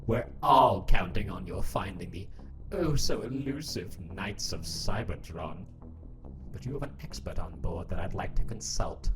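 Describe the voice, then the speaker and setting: A man's voice: deep voice, expressive, a little slow, over music, poor audio. A man voicing a smug, scheming robot leader in a fan dub, speaking in a theatrical, sardonic way. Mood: sly, mock-casual. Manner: theatrical, sardonic, mock-casual. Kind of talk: scripted fan dub of a comic.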